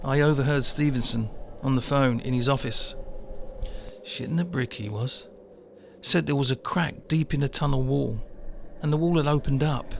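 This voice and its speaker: Man playing weary male musician near fifty, railway job, very creaky voice, middle pitch, colloquial drama dialogue, noisy backdrop. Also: steady tone, moderate pace, natural voice. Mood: hushed, intrigued. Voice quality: creaky, gravelly. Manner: colloquial, world-weary, swears casually. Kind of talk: dramatic dialogue in an audio-led motion comic.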